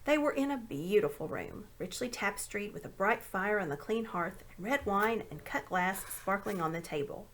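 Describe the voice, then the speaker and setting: A woman reading a children's story aloud in an expressive narrating style. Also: mid-range voice, normal speed, few pauses. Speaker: amateur audiobook reader.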